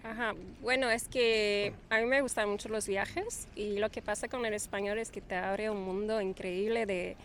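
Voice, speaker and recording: A woman's voice: medium pitch, normal speed, few pauses, slight background noise. Chatty woman, light laughs. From Quebec, Canada. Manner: relaxed, laughs often, hesitant fillers. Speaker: guest. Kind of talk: casual interview conversation.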